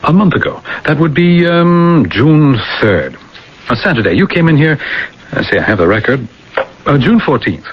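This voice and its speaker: An actor playing an analytical, fatherly psychiatrist who questions insistently and deliberately, in 1980s radio drama style. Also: fairly high pitch, very animated. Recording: dull, hissy old radio broadcast recording.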